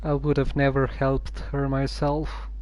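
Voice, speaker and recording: A man's voice: medium pitch, completely flat delivery, unhurried, a little mellow, low-quality recording. A man streaming a game, making dry, laconic asides as casual commentary. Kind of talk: casual commentary over gameplay.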